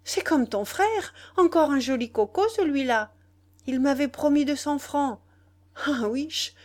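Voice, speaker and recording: Woman acting brash, scornful young woman, high-pitched. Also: darkish tone. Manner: exclamatory, scolding, slangy. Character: brash, impatient, scornful young kept woman, mocking and quick-tempered. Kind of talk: dramatized audiobook dialogue.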